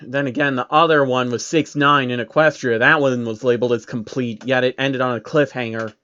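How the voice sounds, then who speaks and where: A man's voice: mid-range voice, fairly even intonation, brisk, bright, forceful tone, clean tone, muffled recording. A man hosting and narrating a comedic fan fiction reading, with sarcastic asides. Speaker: channel host and narrator.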